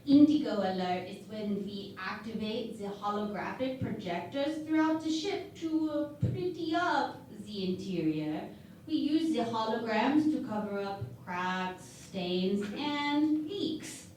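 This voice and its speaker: A cheeky starship medical officer played by a woman in a sci-fi parody radio play. She talks slowly and breezily, with suggestive reassurance, in a very echoey room away from the mic. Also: medium pitch, darkish tone, close to an everyday voice. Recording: very echoey room, distant mic, faint noise.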